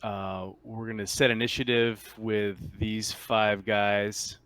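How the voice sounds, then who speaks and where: A man running a casual tabletop roleplaying game as game master, explaining in a halting way with repeats and rephrasing. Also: fairly low pitch, flat delivery, fairly bright tone, clear, resonant tone.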